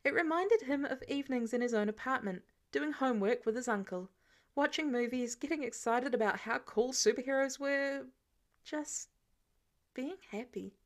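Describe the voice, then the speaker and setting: A woman reads fiction aloud in a fairly high voice. Her delivery is scripted storytelling, expressive and shaped like an audiobook. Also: moderate pace. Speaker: fan fiction audiobook reader.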